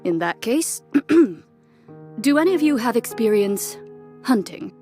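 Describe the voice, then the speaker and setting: A woman voicing a composed, matter-of-fact young strategist in a video game cutscene, with a measured, dry delivery. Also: on the high side, very animated, darkish tone, faint music behind. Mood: composed, explanatory.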